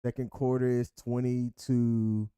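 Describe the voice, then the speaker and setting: A man in his thirties co-hosting a relaxed couple's chat show, easygoing and quick to laugh at himself. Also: mid-range voice, smooth, dry recording. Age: 30s. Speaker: co-host.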